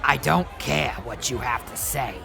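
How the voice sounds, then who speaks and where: A man's voice: medium pitch, unhurried, creaky, music in the background, crowd noise. A man voicing a bitter, resentful man who answers curtly and grudgingly in an audio drama. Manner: curt, flat and grudging.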